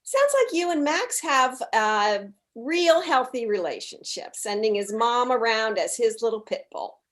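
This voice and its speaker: A woman's voice: on the high side, animated, measured pace, fairly bright tone. A woman playing a polite but blunt mother in her forties, in a comedy script read. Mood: indignant. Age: middle-aged.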